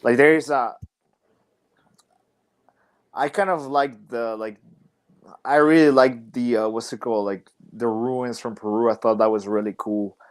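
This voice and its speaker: A young man who wrestles professionally talks as a podcast guest at a mid-range pitch. He rambles thoughtfully and leans on "like" and "you know" while he works out his ideas. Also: fairly even intonation, unhurried, long pauses. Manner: rambling and reflective, many "like" and "you know" fillers. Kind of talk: casual interview chat.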